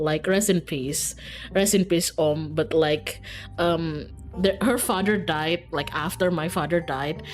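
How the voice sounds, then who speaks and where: A woman streaming a game, reading aloud and commenting casually in an informal, giggly, self-interrupting way. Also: fairly low pitch. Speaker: streamer playing and reading aloud a visual novel. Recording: music behind.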